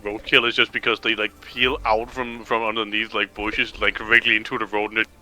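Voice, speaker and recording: A man's voice: on the low side, little pitch movement, a little fast, bright, forceful tone, rough recording. A man chatting casually as he comments on a video game, rambling and repeating words as he explains, with game music behind him. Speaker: co-host commenting on a game playthrough. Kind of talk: casual gaming chat.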